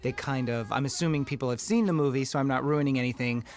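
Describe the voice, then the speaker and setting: A film director with a fairly high voice, chatting eagerly through a commentary track with lots of fillers. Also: male voice, quick pace, soft background music. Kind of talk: audio commentary chat.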